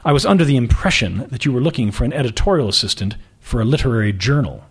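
A man reading the lines of a poised, wry young woman in a job interview, in a dry, measured way. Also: mid-range voice, expressive, slightly rough. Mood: guarded.

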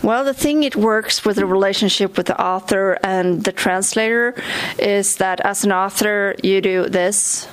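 Swedish-accented woman, visiting author at a library Q&A, chatty, self-deprecating, quick to laugh. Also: mid-range voice. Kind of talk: talk and Q&A with an audience. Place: library event. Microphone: recorded for a podcast.

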